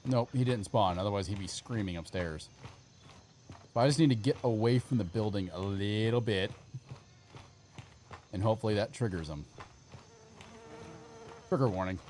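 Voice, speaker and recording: A man's voice: on the low side, normal speed, long pauses, a little mellow. Casual male game streamer, muttering over game noise. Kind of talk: casual live gameplay commentary, chatting with viewers. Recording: clear background game ambience noise.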